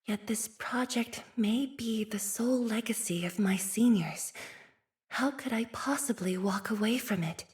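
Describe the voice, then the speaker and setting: A young woman playing a composed, earnest scientist and leader in a video game, with a soft, slightly breathy voice and measured, formal, thoughtful phrasing. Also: a little high, lightly acted. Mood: pensive, torn. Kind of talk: video game character voice lines.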